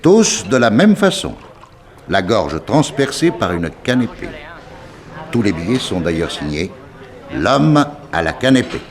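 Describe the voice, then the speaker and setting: An actor in a 1960s French radio drama playing an excitable, chatty Parisian police official with an emphatic, theatrical delivery. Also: male voice, fairly low pitch, unhurried, crowd noise. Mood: exasperated, grave.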